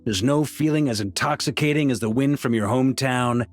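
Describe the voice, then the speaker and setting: An actor playing a relaxed, good-humored grandmaster knight, speaking in an easygoing, wry way. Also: male voice, medium pitch, brisk, soft background music, close to an everyday voice. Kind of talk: game voice acting.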